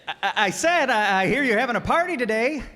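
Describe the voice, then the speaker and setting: Man playing a high-voiced, shameless freeloading sidekick. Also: expressive, quick pace, bright, forceful tone, in a large hall, full character voice. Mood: cheeky, unbothered. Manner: wisecracking, unbothered, folksy. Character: Frankie, shameless, thick-skinned freeloading male sidekick. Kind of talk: old-time radio comedy acting before an audience.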